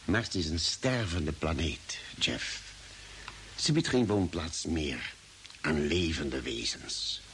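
Earnest space doctor in old radio drama, explains things slowly and dramatically, very lively pitch, bit of hiss. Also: male voice, full character voice. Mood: grave, pondering. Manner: deliberate, explanatory, dramatic pauses. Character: earnest spaceship doctor, reasoning out a grim theory. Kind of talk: old Dutch radio play acting. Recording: hissy vintage radio drama recording.

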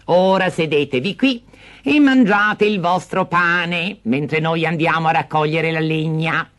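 A man voicing a cruel, bossy stepmother in a storybook reading, with a sharp, scolding, impatient delivery. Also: on the high side, measured pace, voice pushed up. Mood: falsely sweet.

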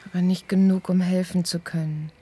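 An actress playing a researcher's concerned, gently frank partner in a naturalistic radio play, speaking in an intimate, reasoning way. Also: female voice, lowish voice, little pitch movement, brisk, husky. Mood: frightened.

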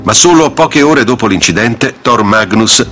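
A man's voice: medium pitch, animated, a little slow, bright, forceful tone, faint music behind. A man reading scripted documentary narration.

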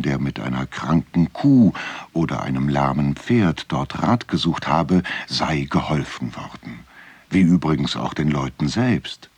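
Deep, husky, unhurried storyteller. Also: male voice, no room sound, full character voice. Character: male storyteller of a village folk legend. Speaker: narrator in a radio drama. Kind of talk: scripted literary tale narration.

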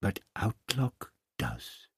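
A man's voice: on the low side, big pitch swings, drawn-out words, fairly bright tone, breathy edge, no echo, clean studio sound, exaggerated voice. A man voicing an irascible, authoritative older doctor in an audiobook story, with emphatic, old-fashioned phrasing. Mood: urging, persuasive. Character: irascible, authoritative older male doctor, gruff but kindly.

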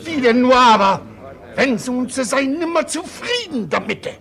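An actor playing a timid, weary poor weaver, pleading and hesitant in a creaky voice, in a German radio play. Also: male voice, extremely high pitch, lively intonation, a little slow, bright, forceful tone, full character voice. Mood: meek.

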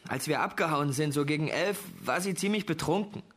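An actor playing a teenage schoolboy suspect in a German radio crime drama, explaining himself in a hesitant, defensive, uneasy way. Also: male voice, fairly high pitch, brisk, a bit bright. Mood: uneasy, insistent. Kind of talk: German radio play acting.

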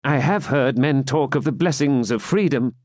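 A man voicing a loyal, wry medieval jester, raising his voice in a lively, animated way. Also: mid-range voice, moderate pace, dry recording, studio quality, voice pushed up, lightly acted.

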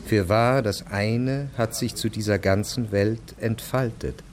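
A man playing a solemn reciter of ancient hymns in a radio drama, speaking in a measured, incantatory manner. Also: fairly low pitch, darkish tone, a little hiss or hum, natural voice.

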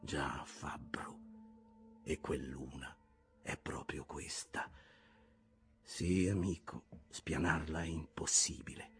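A man voicing a brooding, obsessed old sea captain in an audiobook, switching between hushed whispers and forceful outbursts. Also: extremely low pitch, slow pace, long pauses, breathy edge, faint music behind, heavily acted. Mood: intense. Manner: intense, shifting between whispers and loud outbursts.

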